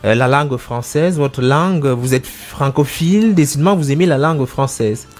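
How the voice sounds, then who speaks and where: A man hosts a literary interview show. He asks his questions in a measured, formal manner, with the odd hesitation, over faint background music. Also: medium pitch. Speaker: host.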